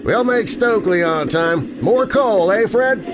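A man doing an excited, jittery train engineer. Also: high voice, a little slow, very noisy, voice pushed up.

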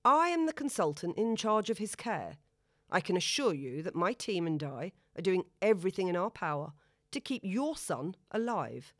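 Woman reading a calm, firm consultant, low, dry read. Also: normal speed, no room sound. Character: calm, composed female senior consultant doctor, mid-forties.

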